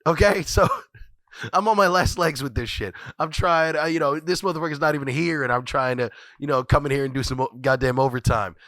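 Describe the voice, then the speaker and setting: A man's voice: a little high, a little fast, fairly bright tone, no room sound. Congested man riffing on his podcast. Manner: rambling, repeats phrases, swears freely. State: congested, scratchy voice from a cold. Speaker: podcast host. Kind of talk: casual profane solo commentary.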